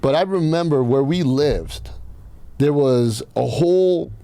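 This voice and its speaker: A man's voice: on the high side, unhurried, mellow, no room sound. A man from Iran telling personal stories casually as a podcast host, blunt and swearing freely.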